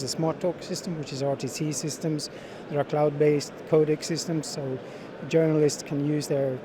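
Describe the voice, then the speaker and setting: A middle-aged man giving unscripted interview answers as a sales manager, speaking in halting phrases with frequent repeats. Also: a little high. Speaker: sales manager being interviewed at a trade show. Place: busy exhibition hall. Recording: busy trade show crowd noise.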